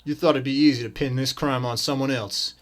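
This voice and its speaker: A man playing an earnest, modest, principled detective and mentor in a radio drama, measured and serious. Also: mid-range voice, steady tone, lightly acted. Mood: stern.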